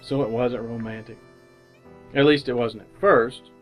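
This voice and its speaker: A man's voice: medium pitch, steady tone, unhurried, faint music behind, natural voice. A man acting in an old-time style radio drama as a bashful, folksy young married man who stammers and hesitates. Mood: wry reminiscing.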